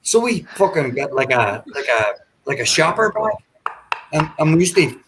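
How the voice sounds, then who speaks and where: A man's voice: a little high, moderate pace, a little hiss or hum. A man in his forties, raised in Ireland, telling stories in a casual group chat and swearing freely. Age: 40s. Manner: swears freely, animated storyteller. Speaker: panelist.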